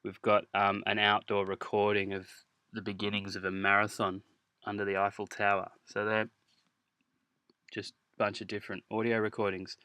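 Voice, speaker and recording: Man, bright voice, informal software tutorial, hesitant delivery, clear background noise with clicks. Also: on the low side, completely flat delivery, moderate pace. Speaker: music production instructor.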